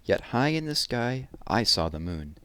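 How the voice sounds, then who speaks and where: A man's voice: medium pitch, very animated, unhurried, bright, forceful tone, no room sound. A man hosting a read-aloud, reading children's poems expressively and explaining things simply to young listeners.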